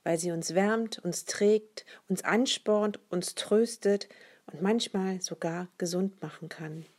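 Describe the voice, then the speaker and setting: Medium-pitched woman in her late forties. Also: takes it slowly, darkish tone. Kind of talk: personal recorded statement.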